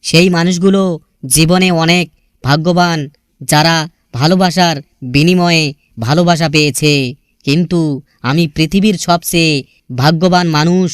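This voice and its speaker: Man, slightly high voice, very smooth and clean, earnest melancholy recitation, poetic, scripted. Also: steady tone, moderate pace, long pauses, no room sound, clean studio sound. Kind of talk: sentimental scripted recitation of love sayings.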